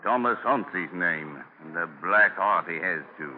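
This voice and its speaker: An actor in a 1940s radio drama playing a gruff, hearty sea captain with salty seafaring talk. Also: male voice, low-pitched, measured pace. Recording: tinny, hissy 1940s radio broadcast.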